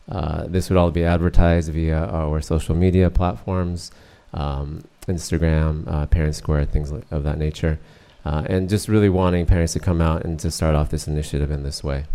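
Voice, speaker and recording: Middle-aged man, deep and mellow, monotone, hesitant; presenting at a board meeting. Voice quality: monotone, many uh fillers. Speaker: school district presenter.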